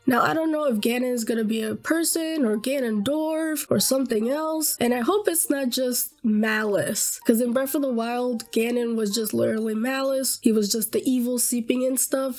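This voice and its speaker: Excitable woman vlogging on webcam, high voice, bit hoarse, gushing fangirl energy. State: slightly hoarse after illness. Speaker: gaming YouTuber. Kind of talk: casual webcam vlog.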